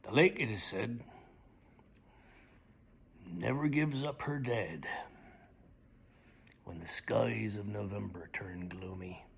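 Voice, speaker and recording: A middle-aged man giving a slow, theatrical reading of lyrics, often dropping to a hushed whisper. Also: on the low side, vocal fry, slight background noise. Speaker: dramatic reader. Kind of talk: dramatic spoken reading of song lyrics.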